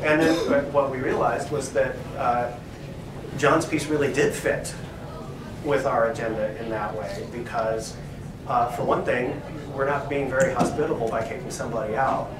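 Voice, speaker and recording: A man's voice: medium pitch, a little slow. A male professor discussing literature at a library talk, thoughtful and hedging with frequent "right?". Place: room in a university library. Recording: echoey room, distant mic, background noise.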